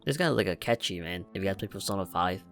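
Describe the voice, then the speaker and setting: A man's voice: low-pitched, expressive, fast talker, gravelly, fairly bright tone, faint music behind. A man giving casual reaction commentary as a gaming streamer. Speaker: gaming YouTuber reacting to a story quest.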